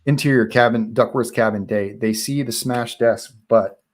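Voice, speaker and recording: A man's voice: medium pitch, steady tone, normal speed. A man reading stage directions as narrator in a live table read of a horror screenplay.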